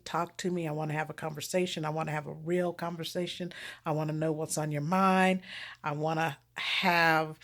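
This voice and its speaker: Female author, lowish pitch, informal direct-to-audience monologue, expressive delivery, leans on repeated words for emphasis. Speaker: erotic romance author promoting their own book.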